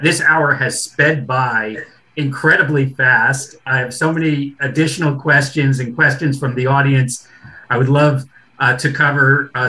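A man's voice: mid-range voice, sharp tone, low-quality recording. A man in his fifties hosting an online panel over a video call, wrapping up with many fillers and restarts. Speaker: host. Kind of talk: closing remarks of a webinar. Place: home room with bookshelves.

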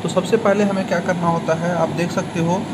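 A man's voice: high-pitched, little pitch movement, fairly quick. A young man gives an informal how-to tutorial, often adding a short checking tag after each explanation. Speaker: video tutorial host. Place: indoors at a table. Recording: noisy room, clear background hum.

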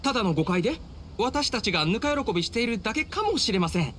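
A man's voice: high voice, very animated, quick pace, a bit bright, a little hiss or hum. A man voicing a humble, dutiful young samurai officer in a Japanese anime game, speaking formally and deferentially. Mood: forced cheer. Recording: studio voice over game music. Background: game music.

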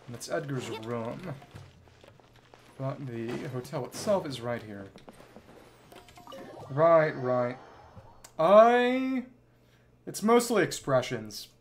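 A man's voice: mid-range voice, expressive, slow pace, long pauses, slight background noise. A man giving casual livestream commentary on a game, thinking aloud in clipped fragments and reading bits of dialogue. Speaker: livestreamer, virtual avatar host.

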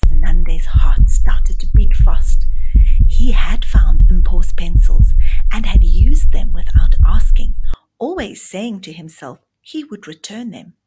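A woman narrating a read-along storybook for young children in a deliberate storytelling manner. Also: lowish voice, expressive, in a whisper, music in the background, low-quality recording.

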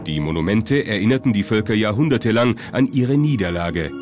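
A man's voice: lowish voice, expressive, fairly quick. A man reads scripted documentary narration. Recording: music behind the voice. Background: music.

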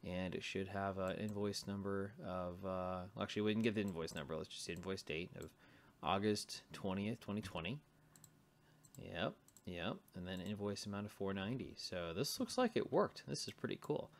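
Low-voiced man thinking aloud while coding. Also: moderate pace, creaky. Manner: mutters to himself while typing. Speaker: programming tutorial presenter. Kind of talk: informal screen-share coding tutorial, thinking aloud.

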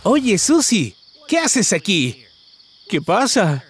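A man's voice: high-pitched, very animated, takes it slowly. A man narrating a children's cartoon in an exaggerated, singsong way, talking to the characters.

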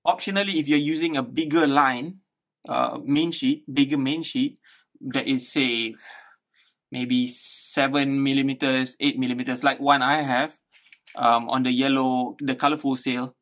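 A man's voice: mid-range voice, steady tone, unhurried, fairly bright tone. A man in his thirties explaining a hands-on build casually, thinking aloud and repeating words as he goes. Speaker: DIY tutorial host. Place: small living room indoors.

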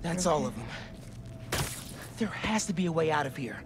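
A man's voice: a little high, animated, normal speed, background noise, close to an everyday voice. A young actor playing an earnest, anxious male superhero in a video game, thinking aloud. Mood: worried.

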